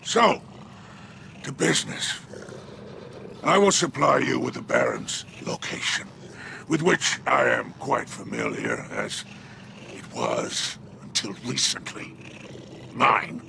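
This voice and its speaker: A man voicing a sly, grandiose alien crime boss in a video game, gravelly and theatrical, with florid, menacing phrasing. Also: medium pitch, wildly swooping pitch, noisy background, heavily acted. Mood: smooth, scheming. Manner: theatrical, florid, drawn-out phrasing with sinister chuckles. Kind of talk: video game character acting. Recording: loud music under the voice.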